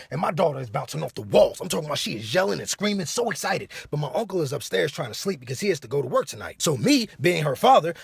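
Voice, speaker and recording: A man's voice: on the high side, creaky, slightly rough, no room sound, clean studio sound. A man in his late twenties with an American accent, telling a comedic story in casual slang with exasperated, profane delivery. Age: late 20s. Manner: animated, exasperated, profane delivery with acted-out dialogue.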